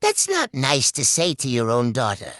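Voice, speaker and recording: A woman with a low voice hosts a playful puppet show. Her delivery is theatrical and hugely varied in pitch, taken slowly, and the recording is dry. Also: huge pitch swings, fairly bright tone, no echo. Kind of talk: playful improvised show hosting.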